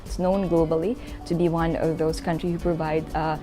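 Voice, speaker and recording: Young Filipino-accented woman, student testimonial, lowish pitch, mellow tone. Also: level tone, a little fast. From Philippines. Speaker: master's student. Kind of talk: promotional testimonial. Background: background music.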